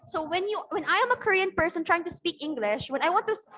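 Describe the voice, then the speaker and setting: High-voiced Filipino woman teaching online. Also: lively intonation, fast, fairly bright tone. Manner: explains patiently, often checks with "okay?". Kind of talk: online class lecture. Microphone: video call.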